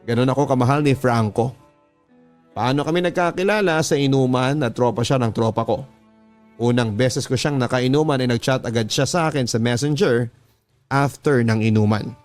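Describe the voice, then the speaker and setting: A Filipino man narrates a scripted love confession in the style of a radio drama. His voice sits at a medium pitch, with music playing underneath him. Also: moderate pace. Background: background music.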